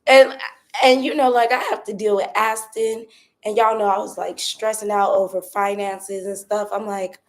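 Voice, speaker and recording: A young woman with a raspy, hoarse voice from a cold, chatting casually on a phone live stream outside by her patio and keeping her voice soft. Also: medium pitch, flat delivery, normal speed. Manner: talks softly, holding back her voice. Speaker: vlogger doing a live stream. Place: outdoors by her patio at home.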